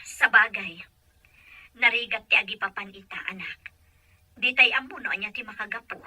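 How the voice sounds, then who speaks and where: A woman playing a caring mother with a husky voice in an audio drama. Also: mid-range voice, normal speed, pushed and bright, rough recording. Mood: gentle counsel. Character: caring, philosophical mother counselling her grown son.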